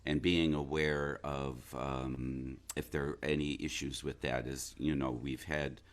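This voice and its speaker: Really low deep guy voice, slow thoughtful interview chat, kinda halting, hunting for words. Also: flat delivery. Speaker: interview guest, stage actor. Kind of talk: reflective interview conversation.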